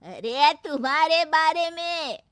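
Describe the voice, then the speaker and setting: Bossy old mother-in-law in a cartoon, lady doing the voice, loud high scolding, dry close mic. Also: animated, slow pace, dry recording, full character voice. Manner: scolding, shrill and exclamatory. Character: stern old village mother-in-law, tradition-bound.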